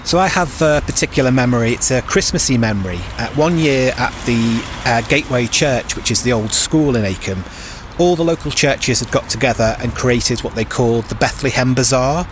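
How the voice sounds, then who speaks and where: Man, mid-pitched voice, casual reminiscence, clearly noisy outdoor recording with traffic. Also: normal speed. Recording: loud outdoor street noise behind.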